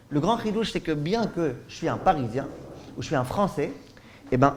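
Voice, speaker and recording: Man, rabbi giving a lesson, fairly high voice, asks rhetorical questions, acts out examples. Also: darkish tone. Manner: frequent checks with listeners ("d'accord?"). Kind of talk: spoken Torah lesson.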